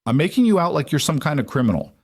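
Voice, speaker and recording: A man's voice: fairly high pitch, big pitch swings, fast talker, husky, no room sound, studio quality, voice pushed up. A man voicing a goofy, chatty male office neighbor who rambles and cracks jokes. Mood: jokey.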